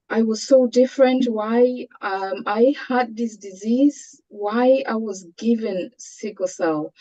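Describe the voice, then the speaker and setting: A woman with a Cameroonian accent giving a personal testimony as a webinar panelist, earnest and measured in her delivery. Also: medium pitch, mellow. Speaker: panelist sharing a patient's experience. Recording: dull, lo-fi online call audio.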